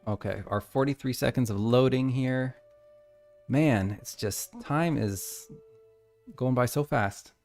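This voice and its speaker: A man's voice: medium pitch, slow, darkish tone, soft background music. An American man streaming his game development live, thinking aloud and reading lines of code as he types. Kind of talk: casual live coding stream.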